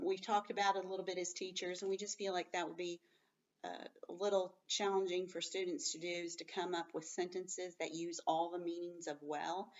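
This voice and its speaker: A woman schoolteacher giving a read-aloud lesson to children, explaining things in simple words and commenting as she goes. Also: lowish voice. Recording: dull sound, distant from the mic.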